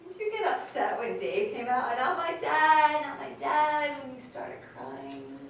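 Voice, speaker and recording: A woman's voice: sits high, sing-song, measured pace, gravelly, heavily acted. Woman as candid older mother, echoey hall. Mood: candid, regretful. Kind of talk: conversational staged reading, interview-like storytelling. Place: live staged reading in a large room. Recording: echoey, noisy hall from far off.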